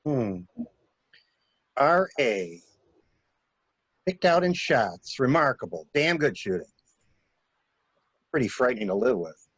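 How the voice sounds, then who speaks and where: A man's voice: mid-range voice, very animated, slow pace, pauses often, fairly bright tone, slightly rough, no room sound, exaggerated voice. A man playing a cool, insistent stranger in an amateur dramatic reading of a murder mystery. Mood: dry, musing. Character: cool, insistent male stranger scheming to shield a suspect. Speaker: library staff reader.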